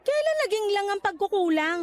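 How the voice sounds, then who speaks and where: A woman's voice: very high-pitched, big pitch swings, a little fast, faint music behind. An actress in a radio drama playing a hurt, guarded young mother answering her ex with exasperated reproach. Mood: exasperated, sarcastic.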